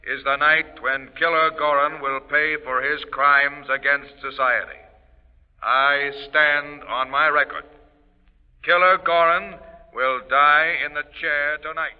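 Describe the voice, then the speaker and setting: A man's voice: fairly high pitch, fairly even intonation, a little slow, clean tone, phone-quality sound, lightly acted. Commanding prison warden voiced by a man, formal speech, phone-thin old radio audio with long reverb. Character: stern, authoritative male prison warden. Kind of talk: 1940s radio acting.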